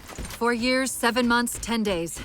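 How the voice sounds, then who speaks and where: A high-voiced, alert AI companion. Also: female voice, slow, a bit bright. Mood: wry. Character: female AI companion, alert, wry, caring. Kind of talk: video game voice acting. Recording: game soundtrack music and effects behind.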